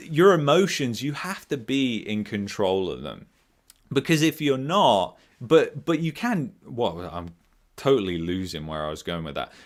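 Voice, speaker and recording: A man hosting a live finance question-and-answer stream, hoarse from a sore throat, rambling, losing his thread and chuckling as he talks. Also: medium pitch. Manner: rambling, loses his train of thought, chuckles often. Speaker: finance channel host.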